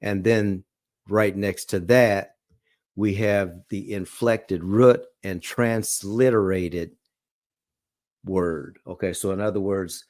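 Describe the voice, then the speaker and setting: A man's voice: lowish voice, steady tone, darkish tone, no echo, studio quality. A man teaching a Bible study lesson, reading scripture aloud and checking in often with "okay?" and "all right?". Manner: reads scripture aloud slowly.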